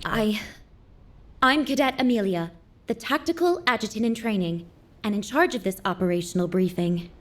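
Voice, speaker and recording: A woman's voice: mid-range voice, animated, normal speed, close to an everyday voice. A woman playing a young, nervous cadet adjutant in a video game, formal, dutiful and a bit hesitant. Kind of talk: video game dramatic acting.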